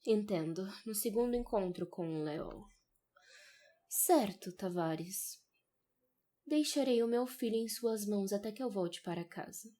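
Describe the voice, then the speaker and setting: A woman's voice: medium pitch, measured pace, mellow, close to an everyday voice. Woman acting a domineering, taunting mother. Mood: businesslike. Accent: Brazilian. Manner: assertive, taunting. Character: domineering, provoking mother, hard bargainer, fiercely protective. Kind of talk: audio novel character acting.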